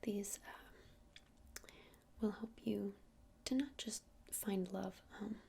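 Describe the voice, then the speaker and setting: A woman playing a gentle crystal-shop witch in an ASMR roleplay, whispering breathily and explaining things unhurriedly with hesitant pauses. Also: mid-range voice. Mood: soothing, earnest. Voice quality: breathy whisper with creaky edges.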